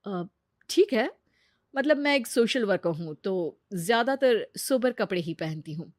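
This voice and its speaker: A woman voicing a reserved middle-aged mother and social worker, measured and hesitant, in an audio story. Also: medium pitch, animated, no echo, studio quality, close to an everyday voice.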